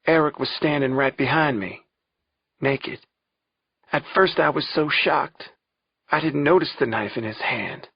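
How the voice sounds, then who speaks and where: A man voicing a frightened, distraught young woman on the witness stand, in a faint girlish voice with halting delivery. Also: mid-range voice, gravelly, breathy edge, no echo, muffled recording, voice pushed up. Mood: timid, faint. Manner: halting, anguished.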